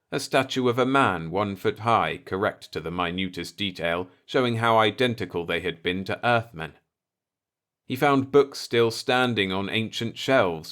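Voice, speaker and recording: A man reading a scripted story as an audiobook narrator, with measured, deliberate phrasing. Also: low voice, fairly bright tone, smooth.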